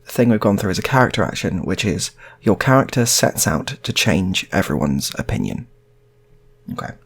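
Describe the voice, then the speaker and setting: A man's voice: deep voice, gravelly, slightly rough. A man telling an improvised story in a casual, rambling way, often repeating his phrases. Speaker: storyteller, channel host.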